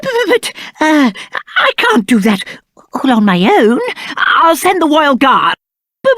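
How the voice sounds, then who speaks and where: A man's voice: very high-pitched, vocal fry, sharp tone, no room sound, studio quality, exaggerated voice. A British man playing a spoiled, cowardly young prince in a video game, with a pompous, petulant and theatrical delivery. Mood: flustered, pleading. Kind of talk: video game character acting.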